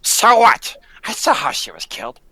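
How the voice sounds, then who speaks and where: A woman's voice: fairly high pitch, very animated, moderate pace, slightly rough, no echo, exaggerated voice. A woman playing a hard, defiant circus performer in a radio play, with melodramatic delivery. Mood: wary, defiant. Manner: melodramatic, sharp. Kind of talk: radio play table read.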